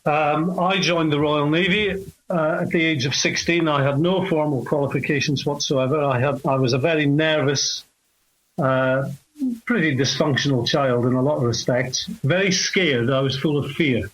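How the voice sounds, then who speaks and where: A Scottish man in his sixties, calling in to a radio talk show and speaking in a measured, hesitant way with many fillers. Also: on the high side, steady tone, fairly bright tone, low-quality recording. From north of Scotland. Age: 60s. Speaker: caller.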